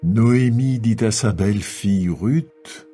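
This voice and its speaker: A man narrating dramatised scripture in a solemn, measured storytelling delivery. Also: on the low side, warm tone, faint music behind.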